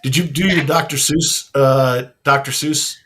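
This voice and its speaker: A man's voice: medium pitch, fairly even intonation, unhurried, a bit bright. A man co-hosting a casual podcast over a video call from home. He follows up patiently and clarifies details in a matter-of-fact way. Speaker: podcast co-host. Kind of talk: casual chat. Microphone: webcam call from home.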